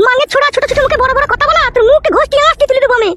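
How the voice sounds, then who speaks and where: A cartoon village wife with an extremely high, shrill voice. She talks very fast, nagging and insisting with a sharp, foul-mouthed tongue. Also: steady tone, heavy background noise. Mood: scolding, angry. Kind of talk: comic cartoon dialogue.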